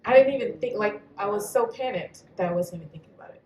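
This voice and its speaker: A woman's voice: medium pitch, lively intonation, fast, a little mellow, far from the mic. A woman on a live panel tells personal stories bluntly, swearing freely. She sounds set back from the mic in an echoey hall, with faint background noise. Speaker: panelist poet. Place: venue hall at a live reading.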